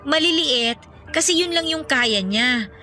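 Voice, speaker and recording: A woman's voice: sits high, expressive, measured pace, sharp tone, soft background music, exaggerated voice. A woman with a Filipino accent playing a practical, caring girlfriend in radio drama dialogue, speaking frankly and persuasively. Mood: concerned, coaxing. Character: concerned, outspoken young girlfriend, practical and caring.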